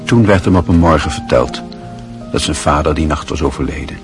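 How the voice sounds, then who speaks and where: Deep, grave male radio narrator. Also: over music. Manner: measured, grave, reflective. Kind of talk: scripted literary radio narration.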